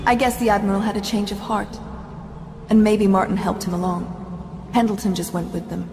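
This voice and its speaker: A woman's voice: medium pitch, flat delivery, normal speed, background noise, lightly acted. A woman playing an earnest, shaken, protective survivor in a dramatic video game. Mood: bitter. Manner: earnest, pleading. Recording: reverberant hall sound, music behind.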